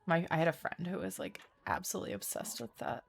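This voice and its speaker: A woman's voice: fairly low pitch, very animated, quick pace, vocal fry, slightly rough. A woman game streamer chatting casually on a livestream in an informal, rambling, giggly way. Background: faint game music.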